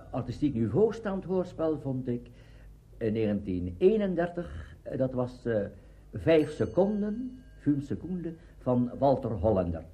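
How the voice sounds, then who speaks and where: A man's voice: mid-range voice, dark tone, muffled recording. An older man, a radio drama director reminiscing spontaneously in an interview.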